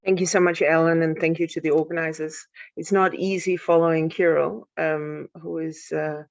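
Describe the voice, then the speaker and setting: A woman from Denmark, speaking as a panelist in an online panel, thoughtful and halting with many restarts. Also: low voice, little pitch movement, gravelly, no echo, highs cut off. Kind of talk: panel talk on a live stream. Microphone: video call.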